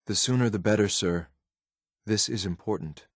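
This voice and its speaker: A man acting as a stoic, loyal but ruthless synthetic soldier in a video game, with a clipped, military, deadpan delivery. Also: fairly low pitch, normal speed, no room sound, studio quality. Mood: dry, blunt. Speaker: companion character in a video game. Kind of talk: scripted game voice lines.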